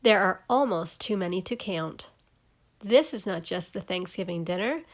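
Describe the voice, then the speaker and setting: A woman reading a story aloud for young children, animated and clearly enunciated, taking care over each word. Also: lowish voice, moderate pace. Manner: animated, clearly enunciated, spells out and explains words.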